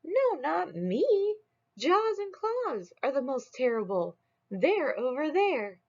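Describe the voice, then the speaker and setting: A woman voicing a small male beast in a storybook, slow and matter-of-fact. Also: sits high, very animated, voice pushed up, exaggerated voice. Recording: dull lo-fi recording.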